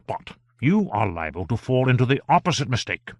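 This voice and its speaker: A man reading an audiobook, voicing an earnest male government gentleman who speaks emphatically and exclaims in surprise. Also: mid-range voice, very animated, a little fast, dry recording.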